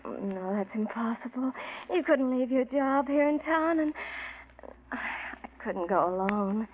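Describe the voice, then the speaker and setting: An American actress playing a fragile, clinging young invalid who pleads and hesitates in an old radio drama. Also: female voice, sits high, animated, measured pace, phone-quality sound. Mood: hesitant, coaxing. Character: fragile, clinging, manipulative sick young woman. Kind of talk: 1940s radio drama acting. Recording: thin, noisy old radio recording.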